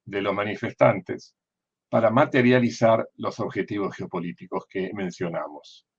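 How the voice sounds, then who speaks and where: An older man with an Argentine accent giving political commentary in a deliberate, didactic way, often posing questions and answering them himself. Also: on the low side, creaky, breathy edge, highs cut off. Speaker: former diplomat and political analyst.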